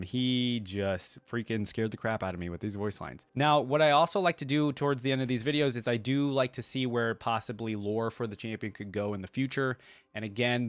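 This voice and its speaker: Male video host, slightly deep, for off-the-cuff reaction commentary; rambling, emphatic, talks straight to viewers, no room echo. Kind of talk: casual, unscripted reaction commentary.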